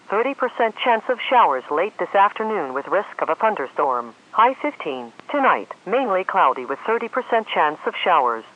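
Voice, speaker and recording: Female automated forecast reader, formal synthetic delivery with very varied intonation, muffled lo-fi radio sound. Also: medium pitch. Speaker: automated weather radio announcer. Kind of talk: scripted forecast and climate summary reading. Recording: dull lo-fi weather radio audio.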